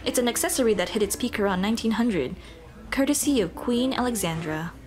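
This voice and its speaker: A woman's voice: on the high side, lively intonation, fairly quick, natural voice. A woman playing a shy, flustered monster girl in an ASMR roleplay, with a nervous, stammering, rambling way of talking. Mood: nervous, bashful. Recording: music behind the voice.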